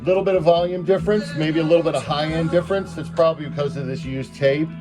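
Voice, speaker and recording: A man's voice: a little high, fairly even intonation, fast. Casual male demonstrator, music in background. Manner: explains step by step, thinking aloud. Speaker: product demonstrator.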